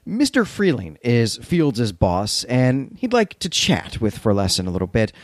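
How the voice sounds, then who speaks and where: A man's voice: medium pitch, huge pitch swings, a little slow, no echo, studio quality. A man co-hosting a podcast, explaining and recapping literature in an articulate, engaged, conversational style. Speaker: podcast co-host.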